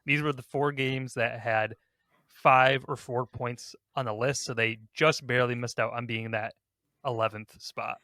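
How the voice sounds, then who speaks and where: Bright-voiced man hosting a podcast. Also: medium pitch, steady tone, a little slow, dry recording, studio quality. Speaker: podcast host. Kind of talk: casual ranking discussion.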